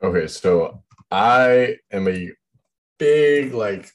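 A young man with a deep voice chatting casually about the music he loves, rambling with lots of fillers. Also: animated, drawn-out words. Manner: rambling, heavy use of "like".

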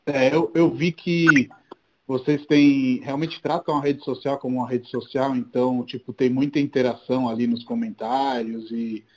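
A man's voice: medium pitch, fairly even intonation, moderate pace, a little hiss or hum. A man from Rome, a native Italian speaker, conducting a casual, conversational interview. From Rome, Italy.

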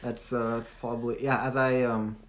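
A young man from Hong Kong with a light British-tinged American accent, a singer-songwriter guest talking haltingly in a casual interview. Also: mid-range voice, flat delivery. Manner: halting, many restarts and fillers.

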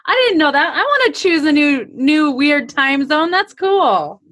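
Chatty podcast lady, slightly high voice, casual interviewer style. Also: very animated, unhurried, fairly bright tone.

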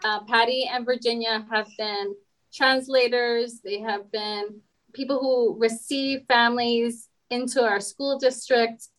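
A woman's voice: fairly high pitch, fairly even intonation, measured pace, fairly bright tone, low-quality recording. A woman interviewer welcoming guests enthusiastically and asking them questions. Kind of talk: warm podcast interview.

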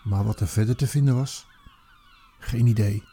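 An actor playing a haunted old Roman army commander looking back, in a halting, theatrical radio play monologue. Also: male voice, fairly low pitch, mellow, breathy edge. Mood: reflective, weary. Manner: theatrical, halting, reflective, with emphatic repeated words.

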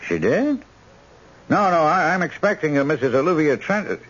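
An actor playing a brisk, decisive hospital surgeon in a radio drama, with a commanding, clipped, pensive way of talking. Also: male voice, fairly high pitch, very animated, a bit bright, breathy edge, full character voice. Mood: flustered, dry. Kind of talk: 1970s radio drama acting. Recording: muffled old radio broadcast recording.

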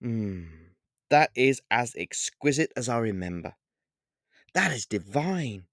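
A man's voice: medium pitch, sing-song, slow, dry recording, clean studio sound. A man voicing a smug, menacing male vampire king who talks grandly and savors each word, in a storybook reading. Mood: savoring.

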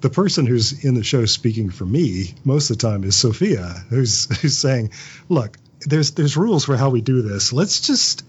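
A man's voice: medium pitch, very animated, moderate pace, breathy edge, muffled recording. A man speaking as a writer and voice actor being interviewed, thoughtful and conversational, acting out imagined lines as he explains. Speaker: interview guest, audio drama writer and voice actor.

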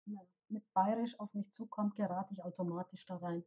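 A woman's voice: mid-range voice, steady tone, husky, dull audio. A woman from Bavaria with a light Bavarian accent and rolled R, a poet explaining her work thoughtfully as an interview guest. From Bavaria, Germany. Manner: thoughtful, explains with pauses and restarts. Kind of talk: casual interview.

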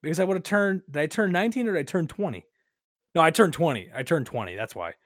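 A man in his early twenties telling a story in a casual podcast style, rambling and halting, often repeating himself and swearing. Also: fairly high pitch, expressive, dry recording, clean studio sound. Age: early 20s. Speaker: podcast host.

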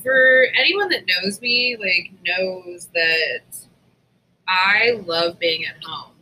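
A woman's voice: lowish voice, animated, slow, sharp tone, low-quality recording. A woman chatting casually as a podcast co-host, venting in a candid, informal way with some swearing.

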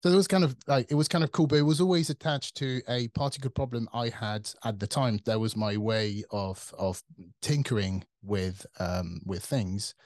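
A man's voice: medium pitch, moderate pace, darkish tone, no echo, studio quality. A man in his forties from France with a French accent, talking casually as an interview guest, thinking aloud with fillers and restarts. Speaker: guest, tech company CTO.

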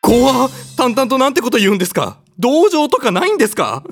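A man playing a timid, cowardly young mortal hero in a comedic audio drama, polite but whiny, flustered and quick to protest. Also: very high-pitched, sing-song, husky, exaggerated voice. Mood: frightened, whiny.

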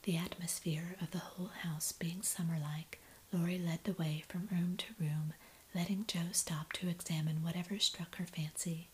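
Woman, whispered ASMR storytelling, low-pitched, flat even delivery. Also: a little hiss or hum, poor audio. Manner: soft unvoiced whisper throughout. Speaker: audiobook reader.

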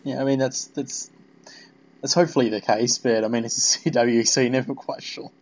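A man's voice: medium pitch, steady tone, brisk, dull audio. A man hosting a casual fan interview, rambling and repeating his phrases as he goes. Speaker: podcast interviewer.

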